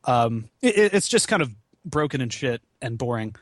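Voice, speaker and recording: A man chatting casually as a podcast guest, rambling and halting, with some swearing. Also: mid-range voice, big pitch swings, dry recording, clean studio sound. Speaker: podcast guest, charity gaming marathon organizer. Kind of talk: casual chat.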